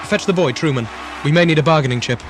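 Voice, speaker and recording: A man's voice: on the high side, fast, music in the background, close to an everyday voice. A man playing a ruthless, logical corporate starship captain in an audio drama, with formal, clipped, pedantic phrasing. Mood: scheming.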